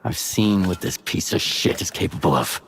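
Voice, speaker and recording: An actor playing a gruff, distrustful mercenary who talks in terse, blunt, accusing bursts in a video game cutscene. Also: male voice, low-pitched, expressive, moderate pace, background noise. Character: gruff, distrustful male mercenary seeking answers.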